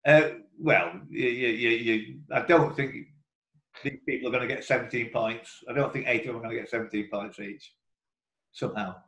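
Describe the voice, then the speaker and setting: A man's voice: mid-range voice, steady tone, moderate pace, a bit bright. A man hosting an online quiz, reading out questions in a chatty, informal way and breaking off into asides. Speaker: quiz host and question reader. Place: indoor room, online video call. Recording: roomy echo.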